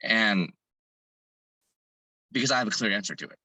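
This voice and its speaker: A hoarse man answers an interviewer earnestly, working his thoughts out aloud with frequent restarts. His voice is bright and forward despite the hoarseness, recorded dry and close with no room sound. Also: lowish voice, lively intonation, moderate pace, no room sound, rough recording. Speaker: fitness content creator and business owner. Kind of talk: interview guest answering questions.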